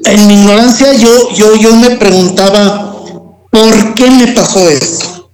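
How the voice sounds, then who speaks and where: A Mexican man shares a personal testimony at a very unhurried pace. He is reflective and comes back to key phrases, repeating them for emphasis. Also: high voice, steady tone, darkish tone, slight background noise, low-quality recording. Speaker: guest telling a personal testimony.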